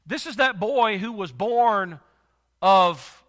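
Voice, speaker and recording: A male pastor preaching a sermon while congested, his voice nearly gone, swinging from soft to loud with slow, emphatic delivery. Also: high voice, big-room sound.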